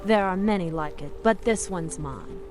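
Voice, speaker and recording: A woman's voice: fairly low pitch, normal speed, natural voice. An actress playing a wry, playful elven warrior woman in a fantasy game. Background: background music.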